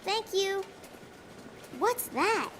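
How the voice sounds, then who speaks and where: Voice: very high voice, lively intonation, very slow, a bit bright, slight background noise, exaggerated voice. An actor voicing an eager, curious, polite little preschool boy in a children's cartoon. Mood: delighted, polite. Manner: polite, inquisitive.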